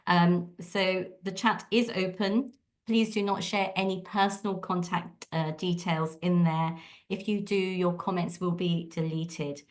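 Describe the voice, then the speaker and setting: A woman's voice: fairly low pitch, steady tone, measured pace. A woman co-hosting an online event over a video call, giving housekeeping announcements in a friendly way with many filler ums. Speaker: event co-host.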